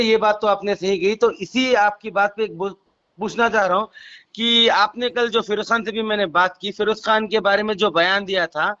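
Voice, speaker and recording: A man's voice: high-pitched, bright, forceful tone. A man with a Hyderabadi accent working as a reporter, asking probing questions on a recorded phone call. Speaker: reporter conducting an interview.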